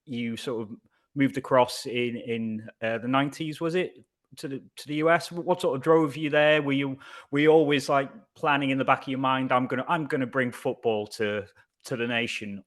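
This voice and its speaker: A man co-hosting a fan podcast, asking questions in a halting, hesitant way. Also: medium pitch, fairly even intonation, a bit bright. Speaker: podcast co-host. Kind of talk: casual interview questions.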